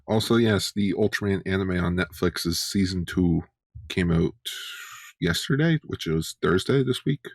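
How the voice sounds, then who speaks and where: A man co-hosting a podcast in casual fan chat, often halting and repeating words. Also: low-pitched, no room sound, clean studio sound. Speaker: podcast co-host.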